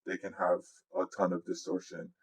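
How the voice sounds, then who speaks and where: A man with a low-pitched voice gives a casual software walkthrough. He speaks as a music producer explaining his process, informal and instructive. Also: sing-song, moderate pace, vocal fry, breathy edge. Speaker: music producer giving a tutorial. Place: indoors, voice-over on a screen recording.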